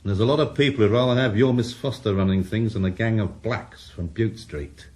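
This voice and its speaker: An actor playing a blunt, cynical middle-aged police inspector in a radio drama. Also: male voice, on the low side, fairly quick, a little mellow, natural voice. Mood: hard. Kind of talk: 1980s radio drama acting.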